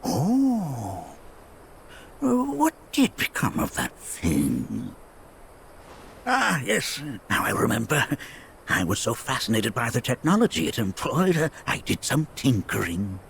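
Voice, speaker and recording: An actor playing a kindly, absent-minded old sage in a video game, rambling and musing theatrically. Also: male voice, mid-range voice, huge pitch swings, slow, gravelly, fairly bright tone, slight background noise, exaggerated voice. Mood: musing, proud. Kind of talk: video game character acting.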